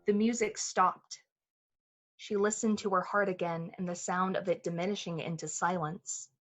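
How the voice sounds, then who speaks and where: A woman's voice: on the low side, steady tone, normal speed, close to an everyday voice. A woman playing the storyteller of a thriller, narrating intensely and with suspense in the manner of a 1940s radio play. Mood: ominous. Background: music.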